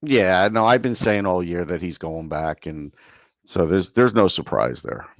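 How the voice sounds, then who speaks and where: Low-voiced man chatting about sports. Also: expressive, a little slow, no echo, clean studio sound. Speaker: sports podcast co-host. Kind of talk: casual sports chat.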